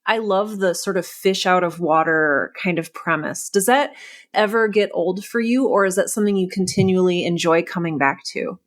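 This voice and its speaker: A woman's voice: lowish voice, normal speed. A woman hosting a podcast interview in a conversational, enthusiastic and encouraging way. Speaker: podcast host interviewing a writer.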